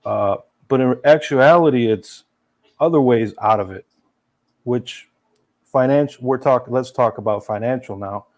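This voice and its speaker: Guest, young adult man, no accent, earnest, slow and halting with uhs. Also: medium pitch, a little mellow. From Serbia, raised in USA. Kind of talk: casual interview chat.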